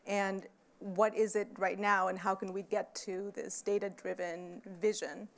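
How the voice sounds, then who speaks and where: Brief: woman moderator, mid pitch, brisk and friendly panel host. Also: level tone. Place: conference stage.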